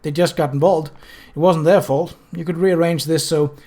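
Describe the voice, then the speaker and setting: A man's voice: on the high side, fairly even intonation, moderate pace, mellow. A man voicing a young male apprentice, hesitant but brave, in a storybook reading. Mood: earnest.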